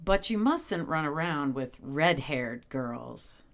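A slow-talking, possessive witch wife. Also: deep voice, lively intonation, heavily acted. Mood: sly, menacing. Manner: commanding, teasing, coolly menacing. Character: possessive, sly witch wife, imperious and teasing. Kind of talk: old-time radio drama acting.

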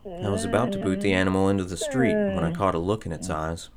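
A henpecked, ageless husband of a witch in old-time radio drama. His low-pitched voice has a wry, ruminative storyteller's manner, easily flustered around his wife. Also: wildly swooping pitch, quick pace, over a noisy crowd. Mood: wry, reminiscing.

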